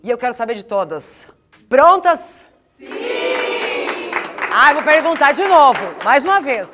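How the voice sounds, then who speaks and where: A woman hosting a reality show, energetic and cheering on the contestants. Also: high-pitched, measured pace, crowd noise. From Brazil. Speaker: show host. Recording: light music underneath.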